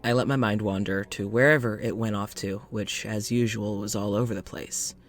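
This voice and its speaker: A man's voice: lowish voice, fairly even intonation, normal speed, lightly acted. A man playing a polite, uneasy scientist in an audio drama, speaking in a reflective, hesitant, conversational way, as if into a journal. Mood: relaxed, reflective. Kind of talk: audio drama monologue and dialogue.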